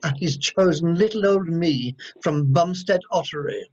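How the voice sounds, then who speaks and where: Man acting a camp, high-voiced panto lover. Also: a little slow, darkish tone, breathy edge. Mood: smitten. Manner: effusive, theatrical. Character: camp, gushing male pantomime enthusiast. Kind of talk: comic radio play acting. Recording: slightly dull video call audio.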